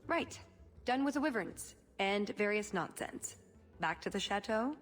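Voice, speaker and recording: A woman's voice: mid-range voice, lively intonation, measured pace, soft background music. An actress voicing a curious, breezy woman rogue companion in a video game, talking casually and inquisitively. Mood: brisk, wry.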